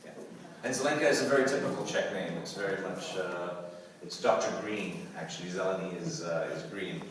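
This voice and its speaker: A lowish male voice talks through a convention Q&A, circling back, repeating himself and breaking into laughter. He is picked up from a distance in a big echoing hall with a long tail of reverb. Crowd chatter stays clearly audible under him. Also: fairly quick. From Canada. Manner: rambling, repeats words, laughs often. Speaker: convention panel guest actor. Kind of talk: convention Q&A talk. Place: large convention hall with audience. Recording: echoey hall recorded from afar, crowd noise.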